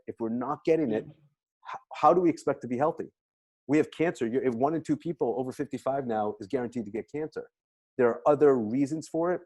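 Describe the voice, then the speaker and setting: Emphatic man pitching health supplements. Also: mellow. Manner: emphatic, repetitive, salesy explaining. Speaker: health practitioner promoting supplements. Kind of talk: enthusiastic product presentation.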